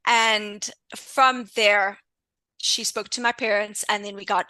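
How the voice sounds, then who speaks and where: A woman in her late thirties with a South African accent speaks as a podcast guest. Her voice is crisp and bright, and the sound is close and dry with no room around it. She talks in a chatty way and often breaks off and restarts a sentence. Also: medium pitch, fairly even intonation, takes it slowly, dry recording, poor audio. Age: 30s. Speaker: podcast guest, intuition coach. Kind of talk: casual interview chat.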